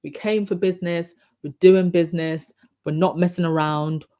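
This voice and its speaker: A woman with a croaky voice hosting a casual live stream, chatting and reading out viewer comments with many ums and restarts. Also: lowish voice, fairly even intonation, moderate pace, warm tone.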